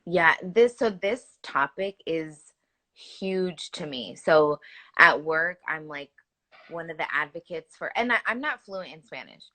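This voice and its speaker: A young woman nurse talks earnestly and with feeling, often restarting her sentences and filling gaps with "like." Her voice sits fairly low but sounds bright and forceful. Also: a little slow. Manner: earnest, animated, frequent "like" fillers and restarts. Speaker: hospital nurse. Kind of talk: conversational interview answers.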